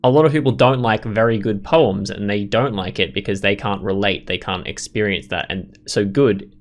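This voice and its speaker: Casting: male gaming host, lowish voice, casual, opinionated, thinking aloud as he plays. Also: moderate pace, soft background music. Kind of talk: casual let's play commentary.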